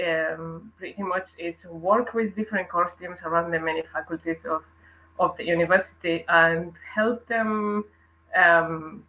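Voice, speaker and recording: A woman's voice: on the low side, pushed and bright, highs cut off. A woman from Spain with a Spanish accent, chatting as an interview guest about her work, talking freely with many restarts and fillers. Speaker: guest, learning developer. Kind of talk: casual interview chat.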